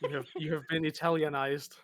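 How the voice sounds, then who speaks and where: A man's voice: on the high side, little pitch movement, a little fast, fairly bright tone. A man chatting as a podcast guest, playful and teasing. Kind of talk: casual chat.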